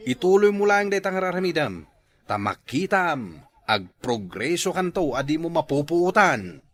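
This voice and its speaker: A man's voice: a little high, sing-song, a little slow. A man acting a friendly, encouraging brother-in-law in a comedy drama. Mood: approving.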